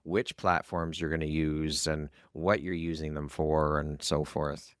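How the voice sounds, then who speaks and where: Deep-voiced man hosting an interview podcast. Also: little pitch movement, a little slow, no echo, clean studio sound. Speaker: podcast host. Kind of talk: conversational interview.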